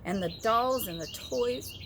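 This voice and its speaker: A woman's voice: a little high, a little slow. A woman narrating a children's story expressively as a storybook reader. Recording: clear background noise with birdsong.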